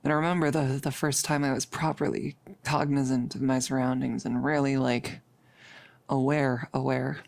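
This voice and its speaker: A performer playing a nervous, joking girlfriend in an intimate ASMR audio roleplay, speaking haltingly and confessionally, with lapses into whispering. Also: mid-range voice, little pitch movement, creaky, dry recording, close to an everyday voice. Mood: wry, reminiscing. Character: nervous, joking girlfriend confiding before becoming a vampire.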